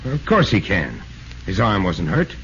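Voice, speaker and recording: Stubborn American ballplayer on muffled old radio. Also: male voice, low-pitched, huge pitch swings, unhurried, a bit bright, exaggerated voice. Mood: firm, defensive. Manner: plain-spoken, slangy, stubborn. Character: stubborn, loyal, troubled big star ballplayer, adult man. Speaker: radio drama actor. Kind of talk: 1940s radio acting. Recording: muffled, noisy vintage radio recording.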